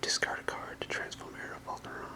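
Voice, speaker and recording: Hushed, unvoiced ASMR whisper. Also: fast talker. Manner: soft unvoiced whisper, hesitant, apologetic. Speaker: ASMR video creator. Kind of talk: whispered ASMR, casual rambling card-deck showcase. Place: apartment room.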